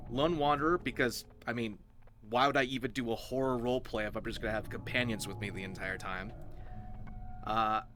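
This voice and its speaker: A man's voice: mid-range voice, pushed and bright. A man giving casual, explanatory game commentary in an informal, emphatic manner, as host of a roleplay series.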